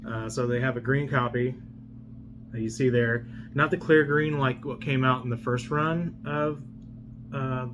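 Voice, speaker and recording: A man with a medium-pitched voice talks through an unboxing in an unscripted, conversational style. He rambles along casually like a vlogger showing items to viewers. A steady hum runs in the background of the recording. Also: a little slow.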